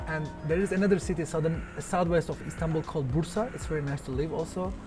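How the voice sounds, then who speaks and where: Turkish-accented man, halting English. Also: a little high, music in the background. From Turkey, Antalya. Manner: halting English with frequent pauses and restarts. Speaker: local guest. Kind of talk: casual interview answers.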